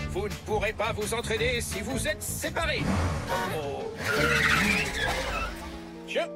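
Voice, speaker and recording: By-the-book police vehicle, adult, theatrical, instructive, hugely animated intonation, French kids' cartoon, music behind. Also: mid-range voice. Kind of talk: French animated preschool series dubbing.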